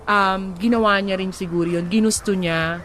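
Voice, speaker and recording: Female Filipino radio co-host, mid-pitched voice, casual advice banter with a teasing, animated manner. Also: normal speed.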